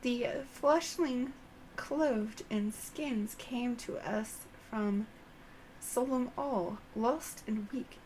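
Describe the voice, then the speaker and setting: With faint noise behind, a woman plays an insectoid elder storyteller from a fantasy game speaks very slowly in a raised pitch. The phrasing is courteous, archaic and solemnly measured. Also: darkish tone, low-quality recording. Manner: formal, archaic, drawn-out phrasing. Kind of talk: game dialogue read aloud.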